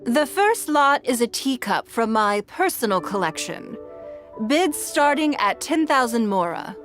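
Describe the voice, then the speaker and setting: A woman's voice: on the high side, big pitch swings, unhurried, a bit bright. A rich shrewd game lady, composed and formal, music behind her. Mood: brisk, commanding. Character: poised, shrewd wealthy woman running an auction. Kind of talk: video game voice acting.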